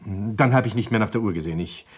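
A man playing a troubled doctor and evasive suspect in a German crime radio drama, speaking in a hesitant, guarded way with a husky voice. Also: on the low side, fast talker. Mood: subdued, recounting.

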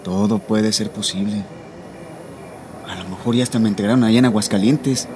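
An actor playing an anxious, fatalistic Mexican migrant in a radio drama, with colloquial, brooding speech and a Mexican accent. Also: male voice, fairly low pitch, steady tone, moderate pace, natural voice. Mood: fearful, brooding. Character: anxious, fatalistic Mexican migrant man waiting to cross the border. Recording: noisy recording with vehicle-like ambience.

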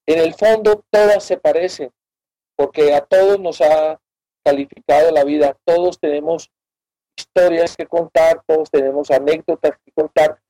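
A man's voice: on the high side, dark tone, no room sound, poor audio. A man in his fifties speaks as a radio guest, reflecting thoughtfully and searching for his words.

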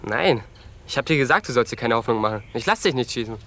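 Surly teenage boy for radio play: forceful bright voice, curt, dismissive, domineering. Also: medium pitch, lively intonation, fast, a little hiss or hum. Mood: domineering, harsh. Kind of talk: radio drama dialogue.

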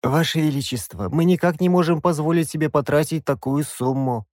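A man voicing a timid royal treasurer who speaks very quietly and anxiously. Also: fairly high pitch, a little fast, no echo, clean studio sound, pitched above the performer's own voice, lightly acted. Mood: nervous.

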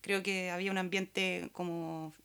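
A woman's voice: lowish voice, little pitch movement, measured pace, fairly bright tone, smooth. Chilean woman co-hosting a radio show. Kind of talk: casual chat and scripted announcements.

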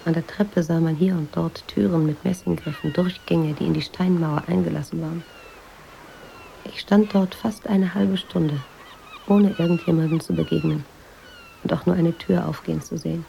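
A reflective woman writer looking back in an intimate radio drama. Her voice is low and heavily creaky, with a soft, mellow tone. She speaks in a measured, introspective hush over faint hiss. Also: steady tone, rough recording. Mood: reflective, calm. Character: reflective American woman writer in 1950s Tangier, recalling.

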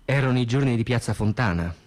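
A man reading scripted radio theatre narration in an expressive, deliberate delivery. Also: medium pitch. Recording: music under the voice. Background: music.

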